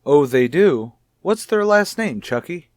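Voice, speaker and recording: An actor playing a gentle, probing child psychologist in a radio soap, speaking in a measured, soothing, tactful way. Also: male voice, a little high, lively intonation, dry recording, studio quality. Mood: kindly probing. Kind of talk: old radio soap opera acting.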